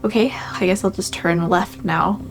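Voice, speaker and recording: A woman plays a tabletop role-playing game in a casual, conversational way. Her voice sits at a medium pitch and she sounds tentative, often questioning. Music is clearly audible behind her. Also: moderate pace.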